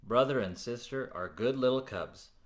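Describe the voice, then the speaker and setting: A man's voice: mid-range voice, normal speed, pitched above the performer's own voice, close to an everyday voice. A man voicing a cheerful, easygoing father bear in a storybook reading, hearty and confident.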